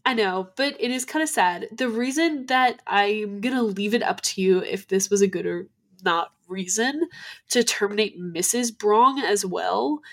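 A woman's voice: mid-range voice, measured pace, a bit bright. A young woman, nasal from a cold, co-hosting a podcast and telling ghost stories in a chatty way full of "like" fillers. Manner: chatty, many "like" fillers and restarts. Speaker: podcast co-host. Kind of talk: casual chat about ghost stories.

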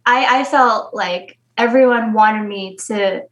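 A woman's voice: on the high side, slow, a bit bright. Chatty young woman from the Philippines. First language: Filipino. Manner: candid, chatty, laughs often. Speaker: guest. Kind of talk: casual interview conversation.

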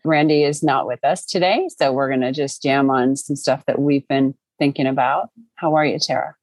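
A woman hosting a podcast, chatting in a calm, reflective way. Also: rumbling low, normal speed, no echo. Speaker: podcast host. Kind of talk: casual chat.

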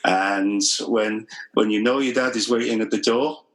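A man's voice: on the low side, fairly even intonation, normal speed, poor audio. A middle-aged man with a Welsh accent, a guest in a podcast interview, answering in a modest, reflective and wry way. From Wales.

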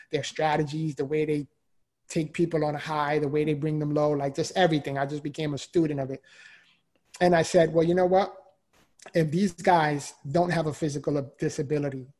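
A man, a motivational speaker telling his own story in an interview, talking with energy and emphatic repetition. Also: a little high. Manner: energetic, emphatic, repeats words for drive. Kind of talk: interview answer, personal story.

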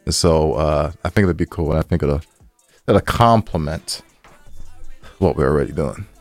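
A man's voice: a bass voice, normal speed. A man co-hosting a podcast in casual, slangy talk, repeating phrases for emphasis, with music behind him. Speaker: podcast co-host.

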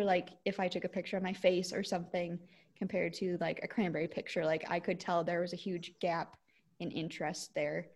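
A woman from the with a squeaky voice talks in a loose, rambling way. She leans on "like" and "um" as she chats with a friend on a podcast. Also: darkish tone. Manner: rambling, lots of "like" and "um". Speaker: podcast co-host, cranberry grower. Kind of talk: casual chat.

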